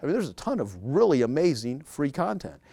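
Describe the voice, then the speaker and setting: A man's voice: fairly high pitch, big pitch swings, a little fast, creaky, dark tone, dry recording. A man speaking as a guest in a casual interview, talking emphatically and repeating key words to drive a point home.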